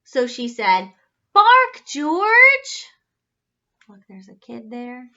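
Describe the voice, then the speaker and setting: A woman's voice: a little high, fairly even intonation, a little slow, muffled recording. A woman reading a storybook aloud to children in a theatrical way, asking questions and reacting with wonder. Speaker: story reader, children's teacher-like host.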